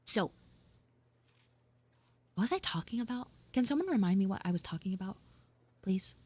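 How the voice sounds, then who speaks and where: A woman livestreamer chatting casually and haltingly with her live viewers, in an informal way, close on the mic. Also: medium pitch, big pitch swings, a little mellow, no room sound. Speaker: livestreamer and VTuber host.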